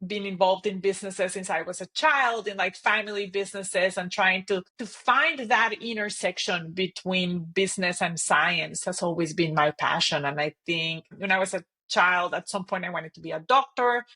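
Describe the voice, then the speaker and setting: A woman's voice: fairly low pitch, normal speed, hardly any pauses, sharp tone. A woman from Costa Rica, a company chief, answering interview questions and telling her career story. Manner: thoughtful, open storytelling with frequent "right?" checks. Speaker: guest, company CEO. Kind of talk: interview conversation.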